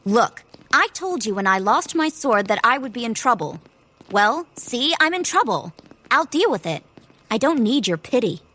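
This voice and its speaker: High-voiced, prickly young girl knight. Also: very animated, moderate pace, sharp tone, full character voice. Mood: defensive, curt. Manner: brusque, defensive. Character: proud, curt, prickly teenage girl knight squire. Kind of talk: audio drama acting.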